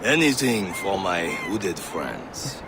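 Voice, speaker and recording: An actor playing an obliging, theatrical informant and arms supplier in a video game cutscene. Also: male voice, low-pitched, very animated, slow, exaggerated voice. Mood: obliging, pleased. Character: smooth-talking male informant and arms supplier. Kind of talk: dramatic game cutscene dialogue. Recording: clear outdoor ambient noise behind.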